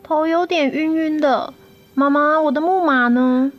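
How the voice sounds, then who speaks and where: A woman's voice: high-pitched, a little slow, dull audio. A woman acting a sweet, sickly little girl in a radio drama, with simple, childlike, endearing phrasing. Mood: groggy, weak. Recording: music under the voice.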